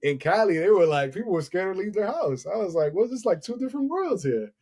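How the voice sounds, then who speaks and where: A man's voice: sits high, very animated, fast, darkish tone, husky. A man in his thirties hosting a podcast, telling stories in a casual, rambling way with lots of "like" and restarts. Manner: storytelling, many restarts and "like". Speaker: podcast host. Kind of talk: casual chat.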